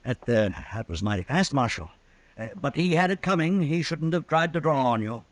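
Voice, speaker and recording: A man's voice: on the high side, expressive, normal speed, no room sound, natural voice. An actor playing an evasive, self-righteous crooked businessman in a 1950s radio western.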